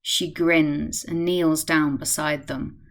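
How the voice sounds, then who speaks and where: A woman's voice: low-pitched, steady tone, slow. A woman reading scripted scene narration as the narrator of a radio play.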